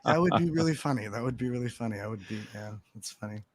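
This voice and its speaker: A man's voice: medium pitch, fast. Rambling, self-mocking man. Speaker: guest. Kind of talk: casual live-stream chat. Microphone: desk mic.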